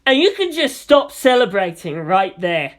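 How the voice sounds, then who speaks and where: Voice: medium pitch, sing-song, measured pace, fairly bright tone. A narrator voicing an earnest, lovelorn teenage boy who doubts himself. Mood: defensive.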